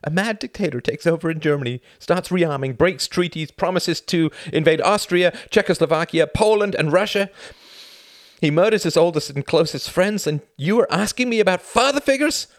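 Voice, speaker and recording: Man doing a young male scholar, agitated, high-pitched, loud, swearing, dry close sound. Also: expressive, normal speed, dry recording, natural voice. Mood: furious. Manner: shouting, passionate, profane.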